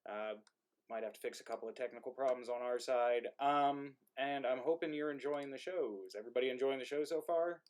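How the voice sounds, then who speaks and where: A man's voice: mid-range voice, brisk, a little mellow, clear, resonant tone. A man with a mumbly voice, casually addressing an online audience as the host of a play reading. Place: indoor room, live online stream.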